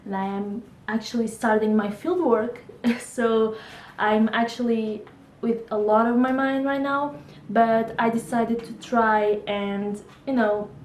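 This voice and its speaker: A woman's voice: fairly high pitch, darkish tone, reverberant, slight background noise, low-quality recording. A woman in her twenties from Portugal with a Portuguese accent, chatting casually to camera about books, laughing often and hesitating with fillers. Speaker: book vlogger.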